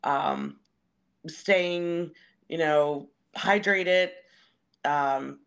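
A woman's voice: deep voice, drawn-out words, clean tone. A woman who works as a stadium announcer, answering interview questions in a conversational, explanatory way.

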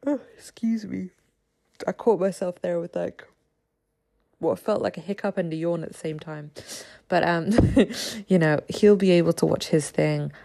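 A low-pitched woman in her thirties chats through her podcast, her just-woken voice raspy with gravelly fry. She talks in a loose, friendly ramble with plenty of ums and little laughs. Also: normal speed, mellow. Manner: chatty, laughs often, many ums. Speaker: podcast host. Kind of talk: casual solo podcast chat.

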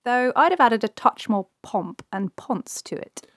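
A man's voice: very high-pitched, big pitch swings, measured pace, fairly bright tone, dry recording, clean studio sound, pitched above the performer's own voice, heavily acted. A man voicing a brash, streetwise half-elf informant who passes as female, with a blunt, sardonic, colloquial delivery in a fantasy audiobook. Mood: wry. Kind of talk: audiobook character dialogue.

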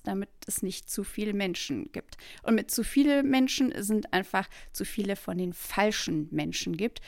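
A woman's voice: mid-range voice, no echo, studio quality. A woman co-hosting a podcast who is not a native German speaker, chatting casually, laughing often and stumbling over German words. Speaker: podcast co-host.

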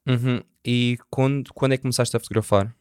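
A male interviewer with a European Portuguese accent puts questions in an informal, chatty style. He speaks quickly in a clean, smooth voice. The sound is dry, with little room in it. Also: mid-range voice, fairly even intonation, darkish tone, dry recording. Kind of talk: casual conversational interviewing.

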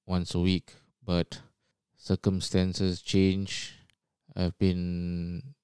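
A man from Singapore hosting a casual solo podcast, who describes his own voice as low and monotonous and talks with many fillers. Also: gravelly, smooth, no room sound. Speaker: podcast host.

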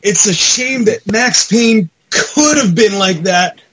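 A man's voice: high-pitched, animated, slow pace, background noise. A man talking casually and enthusiastically as a podcast panelist, with some swearing. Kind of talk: casual chat about movies and games.

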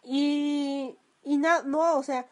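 A woman's voice: high voice, drawn-out words. A young woman with a Mexican accent, ranting casually and crudely on her own podcast and complaining that her throat is sore from talking. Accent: Mexican Spanish. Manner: crude, lively, swearing. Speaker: podcast host.

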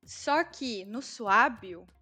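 Chatty Brazilian woman, fairly high voice. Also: expressive, very slow, bright, forceful tone, breathy edge, faint music behind. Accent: Brazilian Portuguese. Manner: chatty, explaining with many fillers. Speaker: guest, Brazilian living in Germany. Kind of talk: casual conversation.

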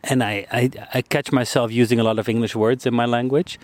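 A man interviewing a guest casually outdoors in a park, speaking in a relaxed, conversational way with fillers and restarts. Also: mid-range voice, brisk, dry recording. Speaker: street interviewer.

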